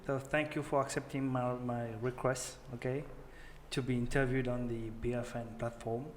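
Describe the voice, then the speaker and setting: A man at a mid pitch who hosts an interview. He introduces his guest and puts short, direct questions to him. His voice bounces around a reverberant room over faint background ambience. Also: flat delivery, moderate pace, slight background noise. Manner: brief prompts and direct questions. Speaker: interview host. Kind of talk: hosting an interview.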